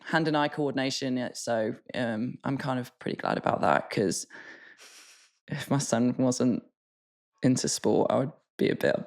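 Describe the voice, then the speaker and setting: A woman's voice: very deep voice, moderate pace, a little mellow. An English woman co-hosting a podcast, chatting casually in a blunt, self-mocking way and swearing freely. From England. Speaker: podcast co-host. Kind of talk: casual chat.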